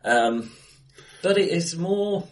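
A man co-hosting a casual film discussion in a bright room, speaking in stop-start phrases with emphatic bursts. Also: fairly high pitch, lively intonation, takes it slowly, low-quality recording. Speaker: podcast co-host. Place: acoustically bright room, face to face.

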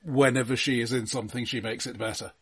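Male podcast co-host, husky mid-pitched voice, halting starts with repeated words, casual film talk. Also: fairly even intonation, fairly bright tone, no echo.

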